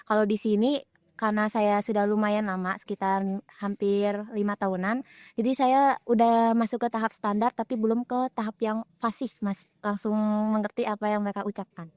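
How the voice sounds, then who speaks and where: A woman's voice: medium pitch, level tone, normal speed, darkish tone, smooth, no room sound. A young woman, a student explaining her experiences in an earnest, casual way. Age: young adult. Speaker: student interviewee. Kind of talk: casual group interview.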